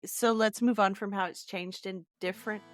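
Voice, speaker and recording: A woman's voice: mid-range voice, steady tone, moderate pace, music in the background. A woman hosting a podcast in a conversational style. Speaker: podcast host. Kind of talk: conversational discussion.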